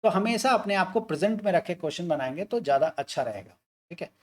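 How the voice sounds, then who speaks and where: A man's voice: high voice, expressive, fast, a little mellow. A man teaching maths, walking through an age word problem step by step and asking and answering his own questions.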